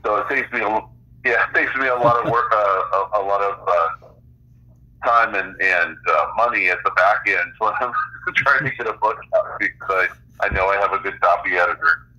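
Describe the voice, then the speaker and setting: A middle-aged man chatting casually as an interview guest about his creative work, in a halting way with searching pauses. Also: lowish voice, sharp tone, muffled recording. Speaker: interview guest, author and game designer.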